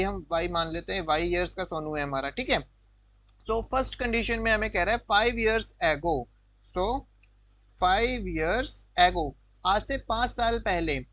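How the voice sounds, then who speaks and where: Guy tutor with a high voice, patient, keeps checking "got it?", dull dry lo-fi sound. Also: fairly bright tone, no echo. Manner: patient, repeats key points, asks checking questions; mixes English terms into Hindi. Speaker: maths tutor. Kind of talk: explaining a textbook problem step by step.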